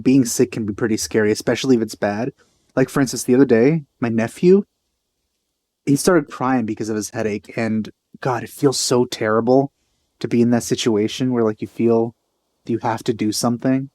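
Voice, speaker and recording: A man's voice: medium pitch, moderate pace, warm tone, no room sound. A man giving casual, musing let's play commentary on a video game, with empathy. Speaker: let's player.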